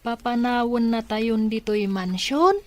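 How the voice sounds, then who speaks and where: A woman acting as a naive, questioning young niece in an Ilocano radio drama. She speaks slowly in a fairly high voice with a Filipino accent. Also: close to an everyday voice. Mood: plaintive.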